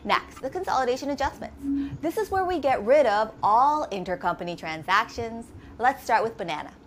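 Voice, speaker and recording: Casting: woman, slightly high-pitched, methodical teacher narrating a scripted tutorial, with some noise in the recording. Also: expressive, fairly quick. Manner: methodical, instructional, asks and answers own questions. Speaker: accounting instructor. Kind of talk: scripted step-by-step lesson narration.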